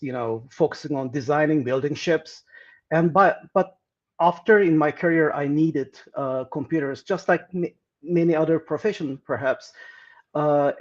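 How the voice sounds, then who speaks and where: A man's voice: fairly high pitch, darkish tone, lo-fi sound. A man, answering interview questions with many fillers and restarts. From Turkey. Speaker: guest.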